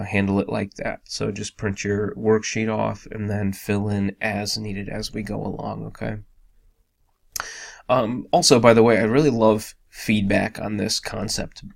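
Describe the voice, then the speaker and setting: Raspy man with a cold teaching stats. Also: lowish voice. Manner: informal, filler-heavy, many ums and restarts. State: hoarse from a cold. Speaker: business statistics instructor. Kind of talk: casual recorded lecture.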